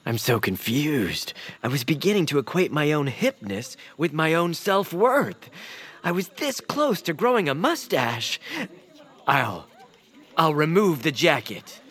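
An actor playing an earnest, naive, easily flustered young creature in a family audio drama. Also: male voice, a little high, a little slow. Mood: confused. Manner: earnest and animated.

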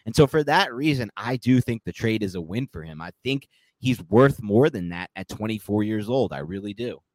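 A man's voice: lowish voice, animated, normal speed, a little mellow, slight background noise. A man co-hosting a sports podcast, giving casual, opinionated analysis in a rambling, self-correcting way.

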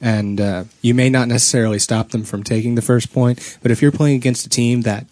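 A man's voice: mid-range voice, steady tone, a little fast, darkish tone, no room sound. A man co-hosting a casual gaming podcast with a blunt, informal way of talking. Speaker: podcast co-host.

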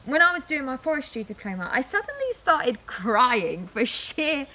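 Young daughter on the edge of a new life woman acting, emotional, higher register, naturalistic radio-play delivery. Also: sing-song, normal speed, bright, forceful tone, heavily acted. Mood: excited, emotional. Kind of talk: naturalistic audio drama acting.

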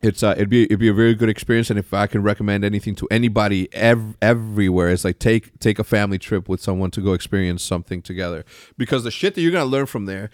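A man's voice: on the low side, fast, no echo, clean studio sound. A man in his forties hosting a casual podcast chat, rambling and swearing freely as he tells stories. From moved to the United States at thirteen. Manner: loose, profane, rambling storyteller. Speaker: podcast host.

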